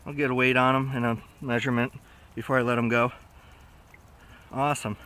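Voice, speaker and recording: A man's voice: mid-range voice, little pitch movement, a little fast, fairly bright tone. A man vlogging as he fishes, chatting casually in slangy exclamations to himself and a companion. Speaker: vlogger fishing from shore. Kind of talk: casual excited fishing chat. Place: outdoors on a riverbank. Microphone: action camera worn on the body. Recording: outdoor action camera with clear background noise.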